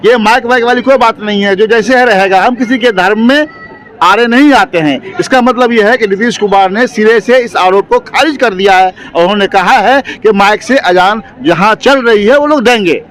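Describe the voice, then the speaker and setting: A man's voice: sits high, normal speed, a bit bright. A man working as a news reporter, putting questions in an on-location interview.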